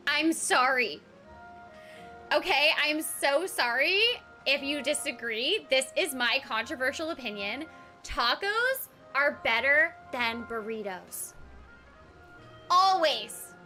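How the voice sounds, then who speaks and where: A woman from Texas, a voice actor streaming a game, chatting to her live audience in a bubbly, giggly, animated way. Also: high-pitched, slow, faint music behind.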